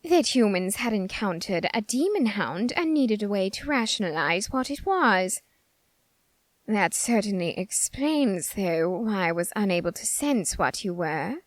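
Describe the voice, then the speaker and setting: Casting: female actor as haughty, flamboyant otherworldly diva for ASMR roleplay; slow, theatrical, sarcastic drawl, very animated intonation. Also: mid-range voice, no room sound, close to an everyday voice. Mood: intrigued, condescending. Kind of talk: scripted ASMR character roleplay monologue.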